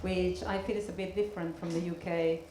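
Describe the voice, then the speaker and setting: A woman in her thirties from Argentina performing stand-up comedy with a deadpan, measured delivery and pauses. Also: fairly low pitch. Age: 30s. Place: live comedy venue, on stage. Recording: echoey room, clear background noise.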